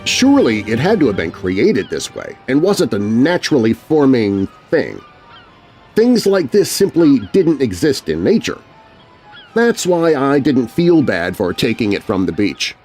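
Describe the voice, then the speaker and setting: A man reads as a shaken kid narrator in a scary storybook. The telling is dramatic and very animated in pitch, grumbling and expressive, broken by deliberate pauses. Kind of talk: first-person scripted story narration.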